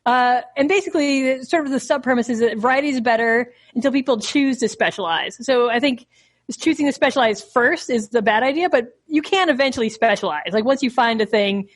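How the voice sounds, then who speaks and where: A woman's voice: on the high side, a little fast. A young woman hosting a podcast, chatting casually about a book with frequent filler words. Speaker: podcast host.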